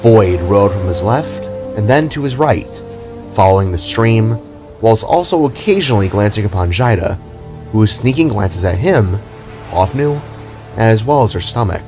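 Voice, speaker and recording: A man's voice: fairly low pitch, animated, normal speed, darkish tone. A man reading scripted fantasy story narration as the narrator of an audio drama. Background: music.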